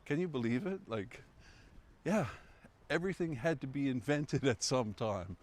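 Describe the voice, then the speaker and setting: A man's voice: mid-range voice, expressive, normal speed, darkish tone. A man chatting casually as co-host of a live stream, amused, with fillers and asides. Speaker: co-host of a local history stream. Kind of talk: casual chat.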